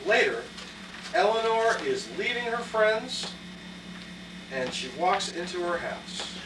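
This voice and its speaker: A woman's voice: medium pitch, sing-song, slow pace. A woman narrating an amateur play, announcing each scene from a script. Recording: echoey room, distant mic, clear background noise.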